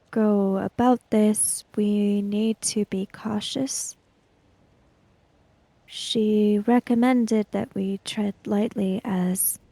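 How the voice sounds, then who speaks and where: A woman playing a tabletop roleplaying game, speaking in character with careful, formal, halting phrases. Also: mid-range voice, vocal fry, mellow, no room sound.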